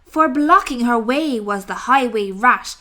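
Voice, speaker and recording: Slow, high-voiced woman storyteller. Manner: expressive, deliberate storytelling. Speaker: storybook narrator. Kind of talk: scripted children's story read-aloud.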